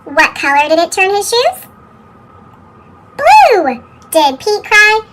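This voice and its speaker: A woman reads children's picture books in an animated, question-and-answer storytelling manner, her voice altered with a sped-up chipmunk effect. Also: very high voice, slow, very noisy. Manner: exclaiming, question-and-answer delivery for young children. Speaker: children's picture book reader. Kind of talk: animated storybook narration with sung refrains.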